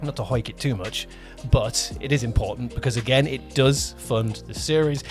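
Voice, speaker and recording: A man co-hosting a casual podcast as a producer, talking in a halting way, correcting himself and repeating phrases. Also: medium pitch, soft background music. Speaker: podcast co-host, audio drama producer.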